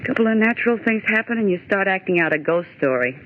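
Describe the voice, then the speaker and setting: A woman's voice: medium pitch, lively intonation, fast, close to an everyday voice. An actress playing a bossy, skeptical but rattled young woman office worker in 1940s radio horror style, scolding and theatrical. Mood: exasperated scolding. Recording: old radio recording, narrow tinny band, hiss.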